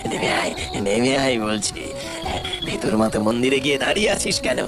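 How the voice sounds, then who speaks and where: A man voicing a menacing village ghost who poses as a kindly uncle, gravelly and theatrical, in an animated horror cartoon. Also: medium pitch, a little fast, natural voice. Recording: music behind the voice. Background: music.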